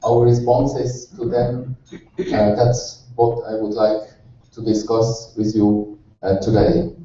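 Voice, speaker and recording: Swiss German man, slow non-native conference talk, far off in a reverberant hall. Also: mid-range voice, level tone, dark tone. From Switzerland. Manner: halting non-native English with frequent fillers and restarts. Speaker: conference speaker from a postal company. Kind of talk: conference presentation. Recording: echoey hall, distant muffled mic.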